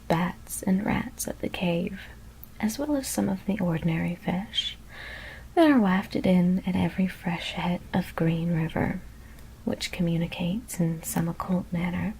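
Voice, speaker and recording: A woman whispering a gothic horror story as ASMR narration, hushed and deliberate, with careful pauses. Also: lowish voice, gravelly, slight background noise, rough recording.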